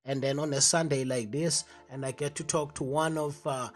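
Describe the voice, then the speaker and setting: A male radio host interviewing a guest, enthusiastic and halting, with many fillers and restarts. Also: a little high, steady tone, soft background music.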